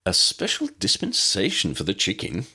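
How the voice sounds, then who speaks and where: A man's voice: lowish voice, huge pitch swings, normal speed, sharp tone, slightly rough, exaggerated voice. A man voicing a confident, friendly adult wizard lawman in an audiobook, plain-spoken and dryly humorous. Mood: reassuring.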